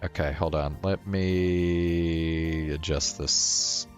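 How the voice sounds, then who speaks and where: A man's voice: rumbling low, steady tone, very slow. A man hosting a game stream, chatting casually and reading visual novel narration aloud. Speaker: streamer, host. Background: faint game music.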